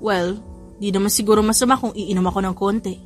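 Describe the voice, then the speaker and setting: A woman's voice: medium pitch, steady tone, fast, soft background music, close to an everyday voice. A woman voicing a tired, restrained man in a romance audio drama. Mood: calm, restrained. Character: weary, sober male stranger, a famous model.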